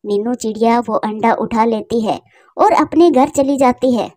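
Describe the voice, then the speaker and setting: A woman's voice: fairly high pitch, measured pace, darkish tone, no echo. A woman narrating a scripted children's story as the storyteller.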